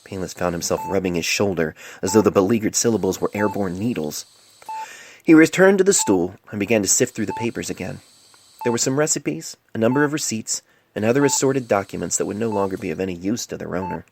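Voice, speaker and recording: Gravelly man narrating a somber story. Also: on the low side, animated, fairly quick, darkish tone, slight background noise. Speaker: author reading own story. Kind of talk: dramatic scripted narration. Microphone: cell phone mic. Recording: homemade phone-mic recording with music. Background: music and sound effects.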